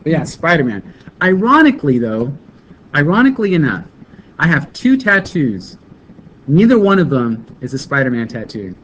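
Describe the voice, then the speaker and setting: A man co-hosting a casual chat show, joking and excitable, who laughs often. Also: on the high side, normal speed. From California. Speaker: co-host. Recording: dull lo-fi live broadcast with faint background noise.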